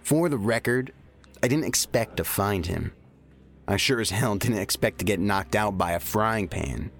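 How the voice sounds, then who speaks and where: An actor playing a hardened, blunt federal field agent in an audio drama, serious in manner. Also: male voice, lowish voice, moderate pace, lightly acted. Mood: defensive.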